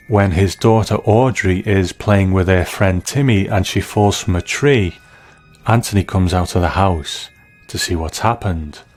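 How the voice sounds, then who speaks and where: A man's voice: low-pitched, a little mellow. A man delivering scripted commentary as the narrator of a review.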